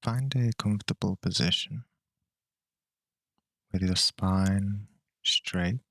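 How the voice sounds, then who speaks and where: A man leading a guided meditation in a calm, measured, unhurried way with long pauses between phrases. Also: deep voice, vocal fry, no echo, studio quality.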